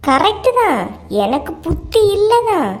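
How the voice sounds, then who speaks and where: A woman playing a jealous, defiant young wife in an animated comedy, indignant and wailing in Kanyakumari Tamil. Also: very high-pitched, a little slow, full character voice. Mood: jealous anger. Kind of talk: animated comedy drama dialogue.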